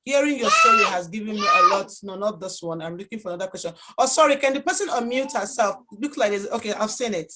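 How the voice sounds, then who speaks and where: A woman informally moderating an online workshop as host, speaking in a halting, distracted way on a video call. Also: medium pitch, huge pitch swings, background noise.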